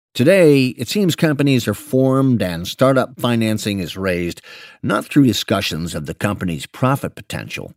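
Male narrator for written business commentary; articulate and emphatic, expressive intonation, very dry close recording. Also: mid-range voice, dry recording. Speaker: narrator reading an investment memo. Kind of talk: scripted narration, audiobook-like reading.

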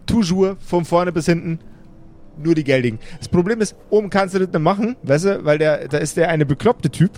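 Man playing a brash, grumbling necromancer boss, higher-pitched. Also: brisk, darkish tone, close to an everyday voice. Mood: gruff salesman. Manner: slangy, coarse, rambling, stammering repetitions. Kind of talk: improvised pen-and-paper audio play.

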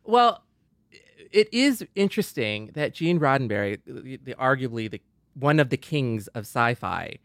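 A man's voice: medium pitch, big pitch swings, a little slow, dry recording, clean studio sound. A man co-hosting a podcast, explaining his points in casual talk and rephrasing as he goes. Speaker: podcast co-host.

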